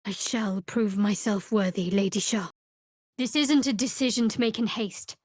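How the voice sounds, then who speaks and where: A woman voicing a guarded, sardonic young cleric of a dark goddess in a fantasy video game, with a dry, wry, measured delivery. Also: mid-range voice, no echo, natural voice. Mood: solemn, devout.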